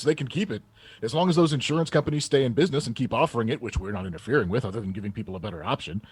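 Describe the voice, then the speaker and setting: An actor playing a confident, combative presidential chief of staff in a radio theater piece, answering in an assertive, polished politician's manner. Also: male voice, mid-range voice, husky, no echo. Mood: emphatic. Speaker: actor in an audio drama.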